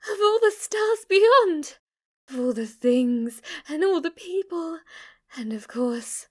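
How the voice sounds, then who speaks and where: A woman's voice: a little high, darkish tone. A woman playing a devoted, submissive demon maid in an ASMR roleplay, with a formal, deferential and adoring manner. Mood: rapturous worship. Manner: formal, deferential, breathless adoration, sometimes whispering. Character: devoted, submissive, obsessively worshipful female demon maid servant.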